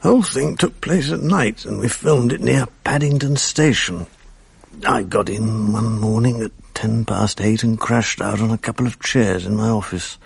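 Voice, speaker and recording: An actor playing a weary, hard-drinking, self-pitying English TV producer in a radio drama, wry, rueful and rambling. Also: male voice, fairly low pitch, normal speed, creaky, slightly rough, no room sound, full character voice. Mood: wry, reminiscing. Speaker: lead actor and narrator. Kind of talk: confessional radio drama monologue.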